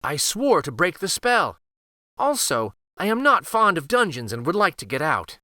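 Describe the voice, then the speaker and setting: A man's voice: a little high, big pitch swings, normal speed, bright, forceful tone, no echo, clean studio sound, voice pushed up. A man voicing a polite, brave young peasant woman in a fairytale read aloud. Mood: earnest. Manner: polite, pleading.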